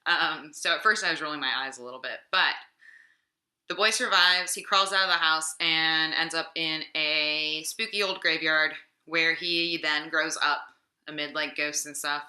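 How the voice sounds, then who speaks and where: A woman's voice: low-pitched, normal speed, bright, forceful tone. A young adult woman vlogger chatting casually about her week's reads, sounding a little sick and rambling with lots of fillers. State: slightly sick voice. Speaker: book vlogger. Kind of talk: casual weekly book talk. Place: home room. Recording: echoey room.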